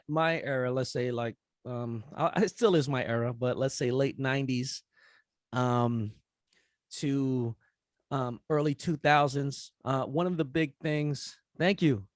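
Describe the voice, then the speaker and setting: A middle-aged man talking casually at a forum, relaxed and rambling with many fillers. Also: mid-range voice, no echo, studio quality. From United States. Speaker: rapper and event host speaking at a forum. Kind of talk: casual conference talk after a rap performance.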